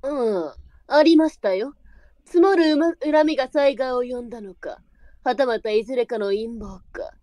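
A woman playing a shrewd, sharp-tongued, brisk brothel madam in a period audio drama. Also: on the high side, no echo, lightly acted. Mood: wary, businesslike. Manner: blunt, switches to formal politeness with guests.

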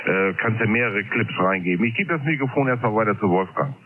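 Lowish guy on tinny ham radio, casual chat over shortwave. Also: brisk. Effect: shortwave radio transmission. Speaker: amateur radio operator. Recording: narrow phone-like shortwave radio, faint noise.

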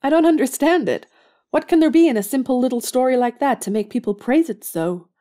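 A woman's voice: high voice, expressive, a little fast, mellow. An actress playing an earnest, self-doubting young woman writer in an audio drama, frank in manner. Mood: humble, puzzled. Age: young adult.

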